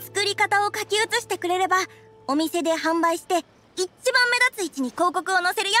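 Chirpy young restaurant lady, really high bright voice, anime game. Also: moderate pace, faint music behind. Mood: excited, grateful. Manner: chirpy, friendly, expressive. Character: friendly, enthusiastic young woman restaurant keeper. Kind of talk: anime game character acting.

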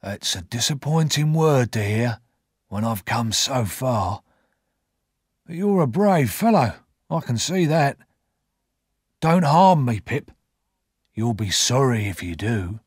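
A man playing a rough, weary ex-convict of about sixty in an audiobook, speaking slowly and quietly in a husky, fond way. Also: mid-range voice, very animated, dry recording, clean studio sound, full character voice. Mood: fond, earnest. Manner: slow, quiet, deliberate.

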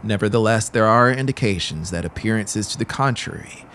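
A man's voice: on the low side, fairly bright tone. Theatrical male horror narrator over noisy ambience. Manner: theatrical, deliberate, emphatic phrasing. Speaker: audiobook narrator. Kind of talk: dramatic horror story narration. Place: small brick-walled studio room indoors. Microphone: close broadcast mic on a boom arm.

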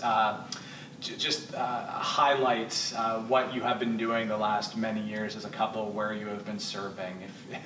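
Chuckling man interviewer, stumbling questions. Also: lowish voice. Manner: friendly, laughs often, restarts questions. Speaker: church interviewer. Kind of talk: casual interview. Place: church lounge with sofas, indoors. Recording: roomy echo, distant mic, clear hiss.

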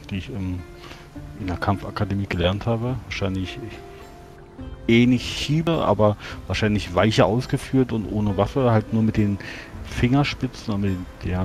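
A man's voice: on the low side, normal speed. A man playing a tabletop roleplaying game, hesitantly describing what his character does. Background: soft music.

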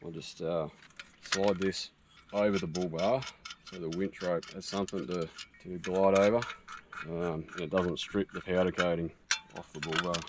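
A man in his thirties with an Australian accent, casually walking through a homemade build outdoors with relaxed, practical talk. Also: low voice, moderate pace. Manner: relaxed, practical, with frequent fillers. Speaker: vlogger. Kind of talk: casual how-to walkthrough. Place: outdoors in a grassy bush camp. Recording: outdoor noise behind the voice.

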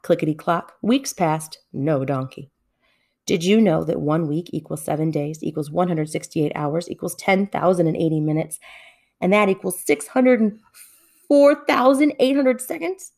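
Female storybook reader, expressive children's narration, slightly low-pitched with very lively intonation. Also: unhurried.

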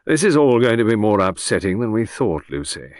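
A man voicing a weary older gentleman who speaks in a measured, sober way. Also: on the low side, big pitch swings, no room sound, studio quality. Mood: grave.

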